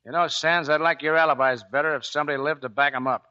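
A man's voice: fairly high pitch, steady tone, fast talker, over music, natural voice. A man playing a tough, commanding but caring navy chief in 1940s radio acting. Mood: stern, accusing. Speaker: actor in a radio drama. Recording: dull 1940s radio broadcast recording.

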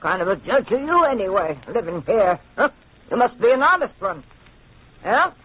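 A woman playing a coarse, excitable, self-mocking stout French woman in old radio drama style, earthy and exclamatory. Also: medium pitch, moderate pace, husky. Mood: brash, blunt. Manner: earthy, exclamatory, bursting into laughter. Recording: muffled, tinny old radio recording.

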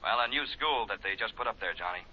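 An American actor playing a businesslike, impatient chief insurance investigator in a 1950s radio drama, talking directly and familiarly. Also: male voice, fairly low pitch, lively intonation, fast, pushed and bright, exaggerated voice. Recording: dull 1950s radio recording.

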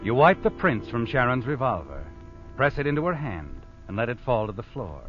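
Casting: actor for male mystery narrator, 1940s radio, insinuating, big pitch swings, dull archival sound with music behind. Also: very animated, moderate pace, lightly acted. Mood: knowing, ominous. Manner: insinuating, dramatic. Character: knowing, accusing male narrator addressing the culprit. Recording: muffled old radio recording.

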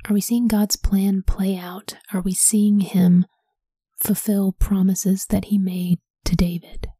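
A woman in a soft whisper, reading scripture aloud and pausing to ask study questions. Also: mid-range voice, level tone, slightly rough, no room sound. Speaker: Bible study leader.

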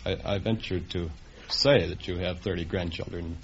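Male American professor type, fairly deep, courteous and precise, a touch hesitant, dull old broadcast sound. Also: steady tone, normal speed. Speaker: English professor, contestant. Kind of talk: careful conversational answers. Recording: muffled, slightly noisy vintage radio recording.

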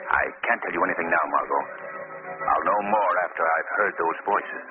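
An actor playing a guarded male investigator, measured and reassuring, in old-time radio drama style. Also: sits low, lo-fi sound, music in the background, natural voice. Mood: calm, guarded. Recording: noisy, band-limited old radio recording.

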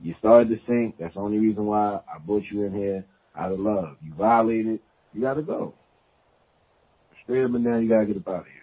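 A man's voice: lowish voice, fairly quick, phone-quality sound. A man leaving a blunt, streetwise voice message as a caller. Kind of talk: casual voice message.

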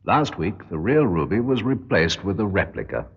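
Deep, husky museum official. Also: male voice, very animated, moderate pace, exaggerated voice. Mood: grave, knowing. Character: composed, authoritative male museum official. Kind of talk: 1960s radio drama acting. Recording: muffled, reverberant old radio recording.

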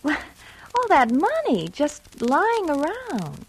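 An actress playing a scheming, coaxing maid and companion in an old-time radio drama, with an insinuating manner. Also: female voice, high voice, wildly swooping pitch, slow pace, heavily acted. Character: scheming, sly young female housemaid-companion, coaxing.

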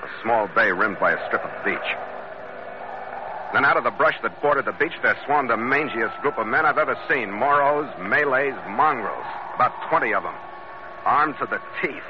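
An actor playing a tough, principled American sea captain who also narrates a radio adventure, in a terse hard-boiled style. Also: male voice, medium pitch, animated, moderate pace, natural voice. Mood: grim narration. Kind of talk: old-time radio adventure drama acting and narration. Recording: noisy, muffled old radio recording.

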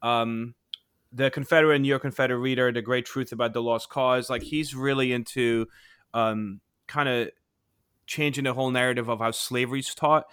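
A man's voice: medium pitch, steady tone, normal speed, a bit bright, smooth, dry recording, studio quality. A middle-aged man co-hosting a casual podcast, rambling with lots of fillers and restarts. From Poland. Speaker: podcast co-host. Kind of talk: casual chat.

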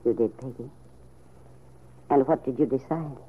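A woman playing a kindly, wistful older aunt with a low husky voice, measured and tender, in old-time radio soap style. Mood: gentle concern. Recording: narrow, tinny old radio recording.

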